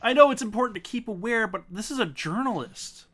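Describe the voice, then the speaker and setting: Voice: mid-range voice, lively intonation, brisk, fairly bright tone. A streamer casually narrating and reacting to a story game, exclaiming at choices. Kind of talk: casual let's-play chat and narration.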